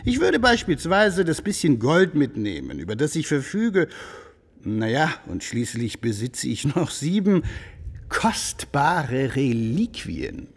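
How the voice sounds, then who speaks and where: An actor playing a wily, ingratiating medieval lord in a radio drama, speaking in a courtly, insinuating, theatrical way. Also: male voice, fairly high pitch, big pitch swings, takes it slowly, husky, heavily acted. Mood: pleading, ingratiating. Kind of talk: German radio drama acting. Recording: long reverberant hall.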